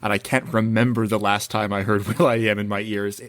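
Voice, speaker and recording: A man's voice: lowish voice, flat delivery, fairly bright tone. A young man co-hosting a podcast, chatting casually and joking, laughing often. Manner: jokey, laughs often, quick asides. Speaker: podcast co-host. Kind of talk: casual chat.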